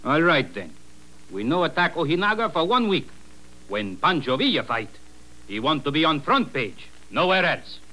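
An actor playing a vain, dreaded Mexican bandit leader with blustering broken English and a Mexican accent in an old radio drama. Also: male voice, fairly high pitch, very animated, moderate pace, bright, forceful tone, rough recording. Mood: proud, decisive. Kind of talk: 1940s radio drama acting. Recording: vintage radio broadcast.

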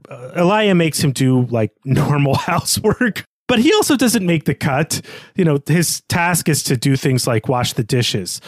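A man's voice: fairly high pitch, no room sound, clean studio sound. A man co-hosting a literary discussion podcast, talking in an articulate, analytical and conversational way. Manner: analytical, articulate, poses questions. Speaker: podcast co-host.

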